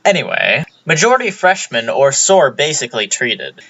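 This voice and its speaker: Bright, animated young vlogger reading aloud. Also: mid-range voice, normal speed, dull audio, soft background music. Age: young adult. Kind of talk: deliberately reading a garbled transcript aloud.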